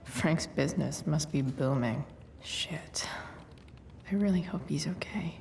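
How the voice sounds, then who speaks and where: A wry, guarded teenage girl from a video game. Her voice sits on the low side and moves slowly, in breathy, sardonic mutters that still show care. There is faint ambient noise behind her. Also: darkish tone, heavily acted. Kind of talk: naturalistic video game voice acting.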